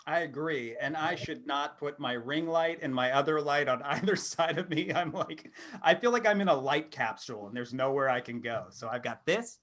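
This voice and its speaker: A man's voice: fairly high pitch, normal speed, fairly bright tone. A man co-hosting a casual comic chat on a video call, sarcastic and self-deprecating. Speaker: co-host, competitor.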